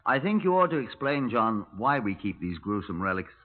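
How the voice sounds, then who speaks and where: An actor playing a dry, measured British police superintendent, narrating a 1950s radio drama with understatement. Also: male voice, fairly low pitch, big pitch swings. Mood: dry, composed. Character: dry, matter-of-fact Scotland Yard police superintendent. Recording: noisy, muffled narrowband vintage radio recording.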